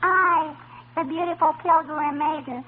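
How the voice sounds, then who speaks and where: Very high-pitched chatty little girl. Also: steady tone, measured pace. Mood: eager, excited. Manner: childish, breathless storytelling with mangled words and verbal tics. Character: chatty, mischievous little schoolgirl, cheerfully persistent. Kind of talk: 1940s radio comedy acting. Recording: tinny, noisy old radio recording.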